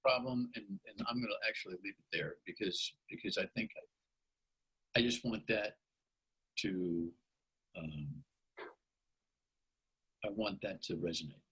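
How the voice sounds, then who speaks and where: A male radio host with a low, gravelly, rough-edged voice. He talks bluntly, presses his guest hard, and trips over his own sentences as he goes, restarting them again and again. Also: a little slow, pauses often, pushed and bright, rough recording. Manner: blunt, pushes the guest with challenges; restarts and stumbles over words. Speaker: talk show host. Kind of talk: radio talk show interview.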